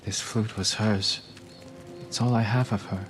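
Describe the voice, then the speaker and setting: An actor playing a dutiful young samurai son, polite and earnest, in a video game drama. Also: male voice, lowish voice, steady tone, a little slow, music in the background, close to an everyday voice. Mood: wistful.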